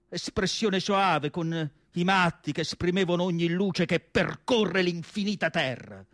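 An actor playing an anguished, indignant and nostalgic asylum psychiatrist in a declamatory radio theatre monologue. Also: male voice, sits high, fairly bright tone, no echo, studio quality. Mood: impassioned. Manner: declamatory, rhetorical questions, emphatic lists. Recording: music playing behind the voice. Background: music.

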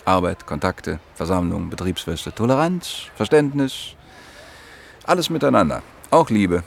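A man's voice: on the low side, lively intonation, moderate pace, creaky, a little hiss or hum. An actor playing a married economist in his mid-thirties, wry and evasive, speaking in clipped phrases in a radio play. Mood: defensive. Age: middle-aged.

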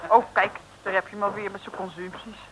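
A woman's voice: medium pitch, level tone, quick pace, sharp tone, lightly acted. An actress playing a pert, complaining young female detective's sidekick in a 1950s radio comedy. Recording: old, slightly noisy radio recording.

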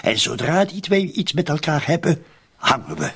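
An actor with a Flemish accent playing a cynical, streetwise murder accomplice in a radio drama, insistently spelling things out in colloquial speech. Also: male voice, a little high, sing-song, moderate pace, a bit bright, husky, full character voice.

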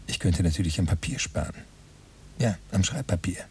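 Low, gravelly fussy writer. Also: male voice, animated, moderate pace, far from the mic, a little hiss or hum. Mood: fussy, brooding. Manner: self-questioning, fussy, methodical. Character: obsessive, muttering male writer calculating his supplies. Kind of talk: German radio play interior monologue.

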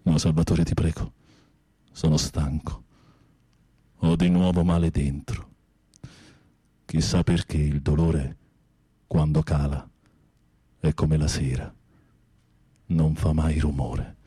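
An actor playing a tormented, fearful, lovesick former teacher in prison in a radio drama, husky and pleading, with a poetic, theatrical delivery. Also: male voice, rumbling low, flat delivery, takes it slowly, long pauses, dark tone, no room sound, full character voice. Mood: weary, melancholic. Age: middle-aged. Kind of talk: intimate radio drama acting.

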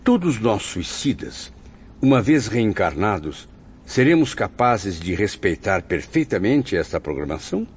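A man's voice: lowish voice, very animated, measured pace, breathy edge, heavily acted. An actor playing a troubled, inquisitive male penitent spirit who tells his story earnestly and expressively in a radio drama. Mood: earnest, curious. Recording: dull lo-fi radio drama, prominent music.

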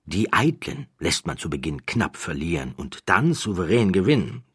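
Man voicing a confident, smooth-talking card sharp, husky. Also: low-pitched, very animated, moderate pace, no room sound, clean studio sound, exaggerated voice. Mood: instructive, calculating. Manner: persuasive, matter-of-fact, familiar. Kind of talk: acted audiobook dialogue.

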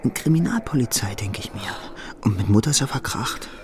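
A man's voice: mid-range voice, animated, brisk, crowd noise, natural voice. An actor playing an anxious, earnest young husband and salesman, polite and hesitant, in a radio drama. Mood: uneasy. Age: young adult. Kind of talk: German radio drama acting.

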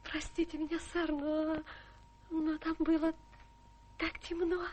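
An actress playing a young, haughty, ambitious governess in a radio play, with a crisp, theatrical delivery. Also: female voice, very high-pitched, level tone, slow, darkish tone. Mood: sweetly apologetic. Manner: imperious, crisp, turning sweetly polite.

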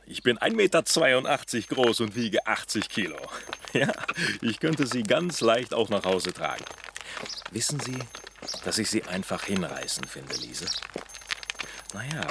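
Infatuated male suitor, lowish voice, wildly animated intonation, flirtatious chatter, radio drama, noisy background. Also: a little slow. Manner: chatty, flirtatious, theatrical swoops. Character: big flirtatious man, eager, infatuated suitor. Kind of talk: German radio crime drama dialogue.

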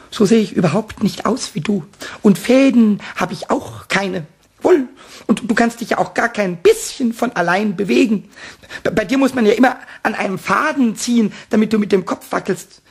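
An actor playing a small, mischievous and jealous male kobold in a radio play, with a raspy, croaky voice and a sulky, stammering, stubborn way of talking. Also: high-pitched, normal speed, full character voice. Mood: spiteful.

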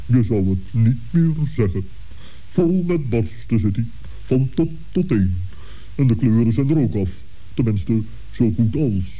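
A ponderous male owl acted by a man talks in a medium-pitched voice, pompous and wordy, tangling his phrases. He means well. The old children's radio play recording sounds dull. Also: expressive, unhurried. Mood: grave, sympathetic.

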